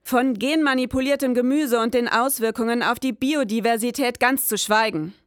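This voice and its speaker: A woman's voice: a little high, no echo, close to an everyday voice. Dry-recorded actress as formal female detective. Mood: sober, precise. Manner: formal, matter-of-fact, measured wording. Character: precise, sober female detective, formal noir narrator. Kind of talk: scripted detective audio drama.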